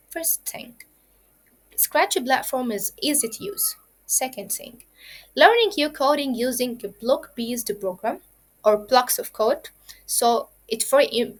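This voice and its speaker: A woman teaches children the basics of coding. Her voice sits a little high, and she explains each point step by step and repeats it, with plenty of fillers along the way. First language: Arabic. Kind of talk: kids' coding lesson.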